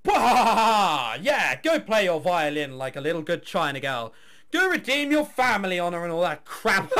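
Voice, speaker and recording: A man's voice: high-pitched, very animated, measured pace, bright, forceful tone, exaggerated voice. A man reading the visual novel lines of a crude hired henchman, mocking and bullying. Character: crude, jeering male hired thug henchman. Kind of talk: visual novel dialogue read aloud.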